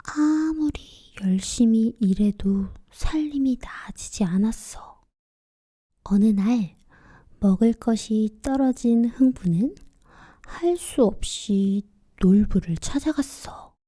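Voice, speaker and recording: A woman narrating children's fairy tales in a soft, breathy, often near-whispered voice, with gentle, expressive phrasing for bedtime. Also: medium pitch, takes it slowly. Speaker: children's storyteller. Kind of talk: quiet bedtime fairy-tale narration in casual storytelling speech.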